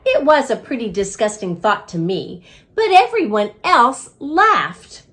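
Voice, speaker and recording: A woman reading a children's book aloud as a reading coach, with expressive narration. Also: fairly high pitch, unhurried. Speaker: reading coach, storybook reader.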